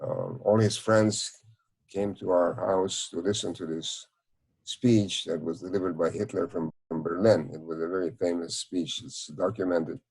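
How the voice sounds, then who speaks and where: Very low-voiced elderly Hungarian-accented man. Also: steady tone, unhurried, darkish tone, breathy edge, poor audio. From Czechoslovakia. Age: 90s. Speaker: Holocaust survivor, interviewee. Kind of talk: recounting memories in an interview. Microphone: video call.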